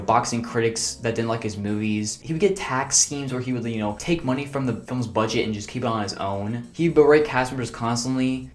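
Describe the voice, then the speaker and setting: A man hosting his own video channel, ranking movies in casual, exasperated commentary that rants and rambles. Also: medium pitch. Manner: exasperated, ranting, fast and chatty. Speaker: YouTube movie reviewer.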